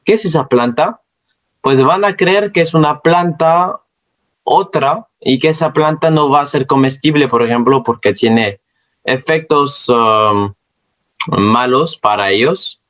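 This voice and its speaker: A man with a non-native accent, speaking a second language as a student presenting an educational narration in deliberate, slightly halting phrases. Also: medium pitch, fairly bright tone. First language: not Spanish, speaks it as a second language.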